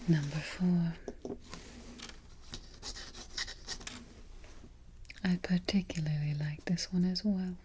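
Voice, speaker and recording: A woman playing a haughty evil queen in a soft-spoken ASMR roleplay, breathy and whispery, giving petty orders with imperious, mock-sweet deliberation. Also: lowish voice, steady tone, moderate pace, slight background noise, lightly acted. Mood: smug, mischievous. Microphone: close ASMR microphone.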